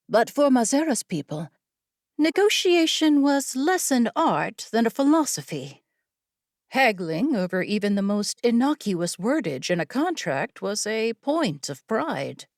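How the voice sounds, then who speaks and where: Unhurried, expressive woman narrating fiction. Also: medium pitch, dry recording, studio quality. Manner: measured, expressive storytelling. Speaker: audiobook narrator.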